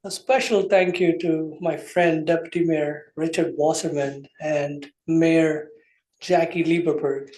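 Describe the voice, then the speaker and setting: A man's voice: on the high side, fairly even intonation, measured pace, a little mellow, echoey room, low-quality recording. A man giving a heartfelt farewell speech as a departing member of a township committee, earnest and thankful. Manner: earnest, thankful, plain-spoken. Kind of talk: farewell speech at a public meeting. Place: township meeting room.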